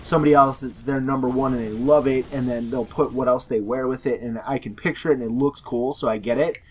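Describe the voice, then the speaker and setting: A hoarse young man, a gaming YouTuber, casually answering viewer questions in a loose, rambling way full of fillers and restarts. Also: mid-range voice, steady tone, fast talker, a little hiss or hum. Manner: rambling, filler-heavy, self-correcting.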